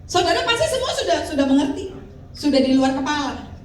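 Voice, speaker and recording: A woman in her fifties preaching a lively sermon, calling out to her congregation for responses. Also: high voice, brisk, crowd noise. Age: 50s. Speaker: pastor. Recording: echoey hall, mic from far off.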